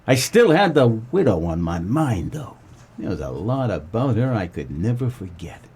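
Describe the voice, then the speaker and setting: An actor playing a wry, world-weary 1940s private eye, narrating a comedic noir radio play. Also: male voice, fairly low pitch, normal speed, husky, full character voice. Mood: wistful. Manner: wry, deadpan, colloquial.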